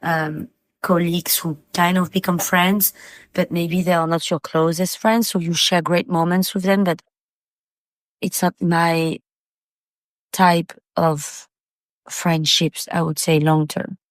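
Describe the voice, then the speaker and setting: A woman's voice: fairly low pitch, flat delivery, takes it slowly, dry recording. A woman from Paris, France, chatting casually as a guest on a podcast. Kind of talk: casual chat.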